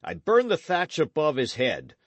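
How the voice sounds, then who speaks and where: A man voicing a gruff, wrathful old knight in an audiobook, speaking in archaic, commanding tones. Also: mid-range voice, sing-song, a little slow, dry recording, muffled recording, voice pushed up. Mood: raging. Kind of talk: audiobook character reading.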